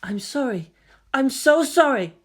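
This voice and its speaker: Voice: high-pitched, expressive, measured pace, voice pushed up. A performer as a pleading, helpless teenage boy. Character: apologetic, submissive gentle teenage boy.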